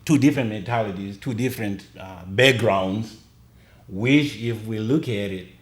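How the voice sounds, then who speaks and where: A man's voice: lowish voice, moderate pace, roomy sound. A middle-aged man from Zimbabwe, talking earnestly as a podcast guest and repeating key phrases for emphasis. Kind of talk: casual conversation.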